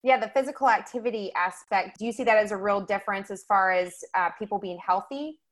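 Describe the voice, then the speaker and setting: A woman's voice: medium pitch, fairly quick. An upbeat woman hosts a conversational podcast. She introduces her guest with enthusiasm and asks easygoing follow-up questions. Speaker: podcast host. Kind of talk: casual interview hosting.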